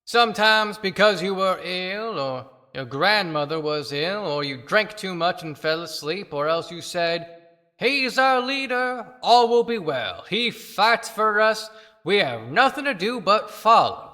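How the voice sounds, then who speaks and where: A woman's voice: medium pitch, animated, measured pace, fairly bright tone. A woman voicing a bitter, indignant fallen political leader, delivered as theatrical oratory in an audio play with long reverb. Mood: indignant, scornful.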